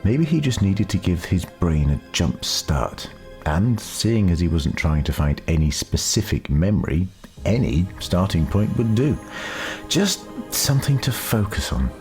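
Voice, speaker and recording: Male narrator, very low pitch, dark warm tone, measured storytelling, deliberate pauses, faint music behind. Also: big pitch swings. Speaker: audiobook narrator.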